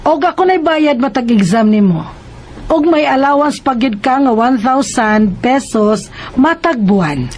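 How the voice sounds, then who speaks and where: An actress playing a busy schoolteacher who employs a student helper, in a radio drama. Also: female voice, high voice, lively intonation, measured pace, darkish tone. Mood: reassuring, businesslike. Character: obliging single schoolteacher employer, busy and bossy at home. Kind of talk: Cebuano radio drama acting.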